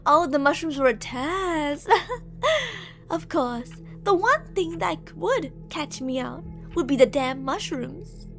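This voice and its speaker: A woman's voice: high-pitched, lively intonation, soft background music, heavily acted. A woman voicing an obsessive, menacing shapeshifting monster who coaxes and taunts intimately in an ASMR horror roleplay. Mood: smug, sinister. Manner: intimate, taunting, possessive, with drawn-out phrases. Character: obsessive female shapeshifting monster posing as a lover, menacing, coaxing.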